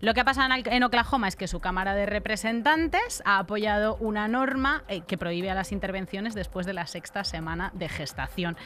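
A woman around forty with a Castilian accent, speaking at a medium pitch. She co-hosts a studio podcast, explaining the news conversationally and bluntly, close to the microphone. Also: fairly quick, hardly any pauses, fairly bright tone. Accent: Castilian Spanish from Spain. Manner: colloquial, blunt. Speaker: podcast co-host. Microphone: close broadcast mic on an arm.

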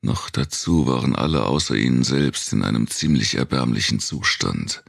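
Male narrator for dystopian audiobook: rock-bottom pitch, husky, dramatic scripted read. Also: normal speed, dry recording, studio quality.

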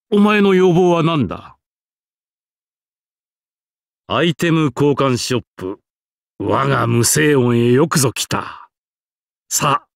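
A man's voice: medium pitch, no echo, clean studio sound, close to an everyday voice. Man voicing a stately, genial old king. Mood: proud, welcoming. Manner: stately, archaic, self-satisfied. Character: grand old bearded king, genial and proud. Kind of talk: Japanese game character voice acting.

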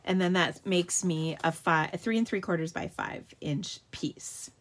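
A woman's voice: fairly low pitch, measured pace, slight background noise. A woman hosting a live card-making tutorial at her craft table, chatting in an upbeat way and answering viewer questions as she works.

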